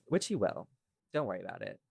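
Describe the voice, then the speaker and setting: A man with a fairly deep voice talks in a casual, expressive podcast style. He sounds like a creator going over behind-the-scenes notes. The recording is dry, with little room sound. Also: quick pace, vocal fry, slightly rough, dry recording. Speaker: audio drama creator, podcast host.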